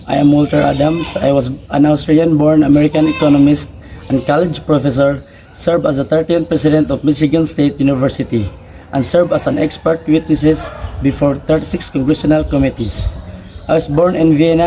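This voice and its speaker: A man's voice: a little high, steady tone, brisk, warm tone, poor audio. A young man reading out a scripted first-person biography as a student presentation, in a recited manner. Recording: background noise, ambient room sound.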